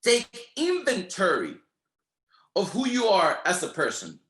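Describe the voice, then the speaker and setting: A man's voice: sits high, huge pitch swings, unhurried, fairly bright tone, echoey room. A man whose first language is Spanish, speaking with a Spanish accent and giving a motivational training talk in an emphatic, repetitive, preacher-like rhythm. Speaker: network marketing trainer.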